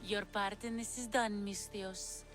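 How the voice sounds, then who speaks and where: Game witch woman actor: sly, coaxing, theatrical, unhurried, over a clear music bed. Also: medium pitch, steady tone, close to an everyday voice. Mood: assured, scheming. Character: sly witch of Hecate peddling potions, slow, sultry. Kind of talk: dramatic video game acting.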